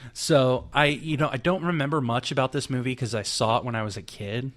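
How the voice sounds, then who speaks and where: A man's voice: medium pitch, fairly quick, fairly bright tone. A young man co-hosting a casual podcast chat, joking and laughing often as he talks. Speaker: podcast co-host.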